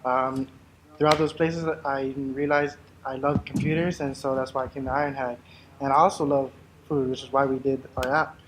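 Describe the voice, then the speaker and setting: Young man, from the United States, student presenter, casual candid talk, mid pitch. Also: flat delivery, moderate pace, a bit bright, slight background noise, poor audio. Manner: casual and candid, some restarts. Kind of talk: project presentation.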